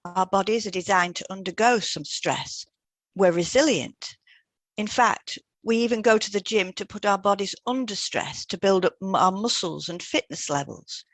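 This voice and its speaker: A woman co-hosting an educational podcast, reading scripted explanations in a measured, explanatory way. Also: medium pitch, dry recording. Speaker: podcast co-host, hypnotherapist. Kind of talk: scripted podcast discussion, read aloud.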